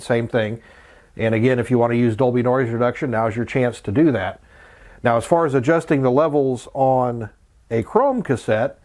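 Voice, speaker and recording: A man's voice: medium pitch, a little mellow. A middle-aged man hosting a casual how-to tutorial, explaining things plainly, step by step. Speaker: host of a vintage electronics tutorial channel. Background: music.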